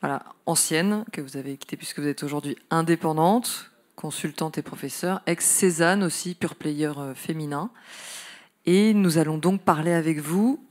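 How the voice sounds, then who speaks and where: A woman's voice: deep voice, normal speed, darkish tone. A woman acting as event moderator, introducing a speaker at a conference.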